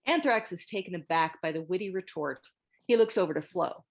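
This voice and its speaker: A woman's voice: fairly low pitch, lively intonation, a little fast, creaky. A woman reading scripted screenplay narration, stage directions and scene headings as a narrator.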